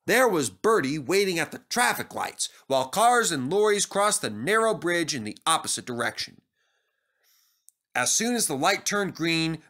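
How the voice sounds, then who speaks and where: A man narrating a scripted children's story as an animated storyteller. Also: a little high.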